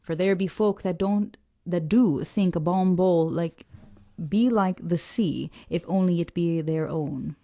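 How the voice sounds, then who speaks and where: Lady doing a preachy old fisherman, slow and dragged out, mellow lowish voice. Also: dry recording, muffled recording. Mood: insistent. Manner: slow, dragged-out dialect speech. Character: old male fisherman, gruff, scornful, preachy.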